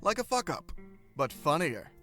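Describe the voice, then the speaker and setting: A man's voice: on the high side, very animated, moderate pace, bright, forceful tone, faint music behind. A man playing a mischievous, laid-back male student in an audio drama, joking in casual slang. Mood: breezy.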